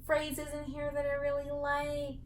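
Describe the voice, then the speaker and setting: Chatty twenty-something woman vlogging from bed, kinda high voice, faint hiss behind. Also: completely flat delivery, unhurried. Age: 20s. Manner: chatty, lots of "like" fillers. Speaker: knitting book vlogger. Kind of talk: casual reading vlog. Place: bedroom, sitting up in bed.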